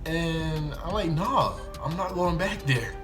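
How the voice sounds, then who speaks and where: A young man with an American accent telling a casual, slangy first-person story in an animated way, acting out bits of dialogue. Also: fairly high pitch, takes it slowly, over music. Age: young adult. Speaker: YouTube storyteller.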